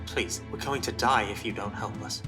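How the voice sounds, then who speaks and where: A man voicing a desperate soldier in an audio story, pleading earnestly and haltingly over music. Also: mid-range voice, natural voice. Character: desperate young soldier pleading with a legendary hero. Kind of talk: audiobook character acting. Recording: music bed behind voice.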